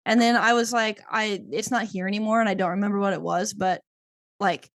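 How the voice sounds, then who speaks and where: A woman's voice: medium pitch, steady tone, brisk, a bit bright, no room sound. A woman co-hosting a podcast, talking loosely and filling her sentences with "like". Her voice sounds slightly worn. The recording is dry and close, with no room sound. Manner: rambling, heavy use of "like". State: losing her voice. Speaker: podcast co-host. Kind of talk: casual chat.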